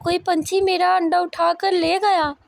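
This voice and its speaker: Woman acting a tearful mother bird, high pitch, unhurried, plaintive, children's moral cartoon. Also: level tone, vocal fry, no room sound, full character voice. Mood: distraught.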